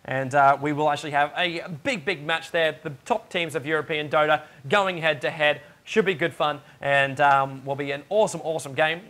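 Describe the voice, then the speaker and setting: Raspy-voiced young male esports caster. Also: on the high side, steady tone, normal speed, few pauses, fairly bright tone. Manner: chatty and joking, with many fillers. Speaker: esports shoutcaster. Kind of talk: casual solo commentary during a game pause. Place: home studio.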